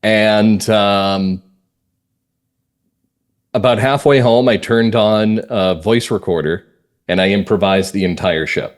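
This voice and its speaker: Male interview guest, American, lowish voice, measured phrases with firm emphasis, conversational delivery. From northern Massachusetts, US. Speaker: guest playwright and comedy writer.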